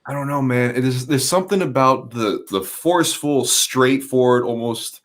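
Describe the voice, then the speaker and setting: A man's voice: mid-range voice, moderate pace. A man in a casual podcast chat, talking loosely and searching for his words as he rambles. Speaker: podcast guest.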